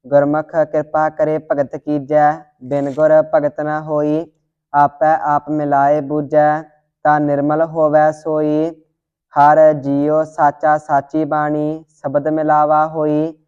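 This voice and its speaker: A student reciting scripture line by line in a steady, deliberate devotional monotone. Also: medium pitch, clean tone. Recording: dull lo-fi audio.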